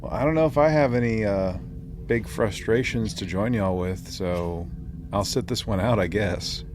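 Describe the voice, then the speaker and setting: A man with a fairly low voice hosts a casual podcast chat. He talks in a jokey, slangy, informal way, over clearly audible background noise. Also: moderate pace. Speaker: podcast host. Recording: clearly noisy room ambience.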